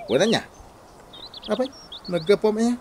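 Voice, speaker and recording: A man's voice: high-pitched, big pitch swings, unhurried, darkish tone, slight background noise. A man playing an easygoing, teasing village woodcutter bantering in a radio comedy drama. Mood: amused. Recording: music under radio drama dialogue.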